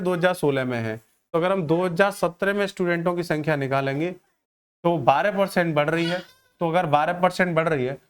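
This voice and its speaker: A man teaches an exam-coaching maths class in a fairly high, clear voice. He works through each step aloud, repeats key phrases and puts questions to his students, addressing them affectionately. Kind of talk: exam-coaching lesson, solving problems aloud.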